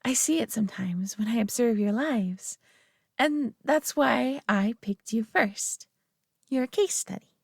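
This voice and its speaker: A superior alien woman, played by a female performer in a roleplay audio drama, proud and possessive yet tender. Her voice sits fairly high with a creaky edge, recorded very dry. She speaks with deliberate, condescending care. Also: no room sound, heavily acted. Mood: earnest, solemn.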